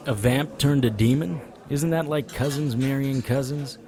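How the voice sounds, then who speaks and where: A man's voice: mid-range voice, normal speed, natural voice. A man playing a weary, sardonic occult investigator in a noir audio drama, with a dry, wisecracking delivery. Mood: wry, suspicious. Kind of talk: noir audio drama acting with first-person narration. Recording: crowd chatter behind the voice. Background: crowd chatter.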